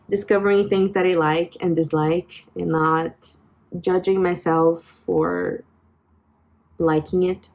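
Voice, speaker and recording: A woman's voice: on the low side, a little mellow, low-quality recording. A Brazilian woman in her twenties with a Brazilian Portuguese accent, speaking English as a second language. She vents reflectively into her phone, hesitant, with many fillers and restarts. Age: 20s. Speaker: vlogger. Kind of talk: personal venting vlog.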